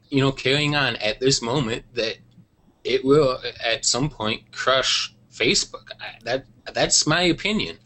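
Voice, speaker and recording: A man's voice: medium pitch, bright, forceful tone, poor audio. A man giving his opinion as a guest on an online panel, firm in his views but hedging them with fillers. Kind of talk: casual panel discussion. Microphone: video call.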